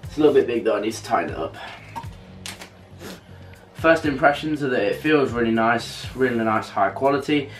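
A man in his twenties with a British accent chats casually and haltingly to camera as a vlogger, trailing off at the ends of phrases. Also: mid-range voice, faint music behind. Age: 20s. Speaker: vlogger unboxing a purchase. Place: small bedroom, later a bathroom. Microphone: camera a couple of metres away.

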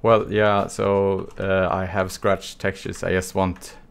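A man's voice: deep voice, slow, gravelly, clear, resonant tone, soft background music. A Swedish man in his thirties with a Swedish accent, casually chatting with viewers as he streams a texturing tutorial from a small home studio. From Sweden. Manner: relaxed, chatty, answers viewer chat between long quiet stretches. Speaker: live stream texturing instructor. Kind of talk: casual live stream chat while working. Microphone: desk mic with webcam.

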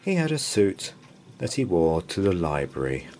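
A man reading a children's bedtime story aloud in an expressive, dramatic way with drawn-out pauses. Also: sits low, a little hiss or hum. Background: open fire ambience.

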